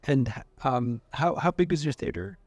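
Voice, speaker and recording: A man of medium pitch conducts an informal interview. His questions come out tentative and loosely put together. The recording is dry, with little sense of the room. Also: lively intonation, moderate pace, darkish tone, no echo.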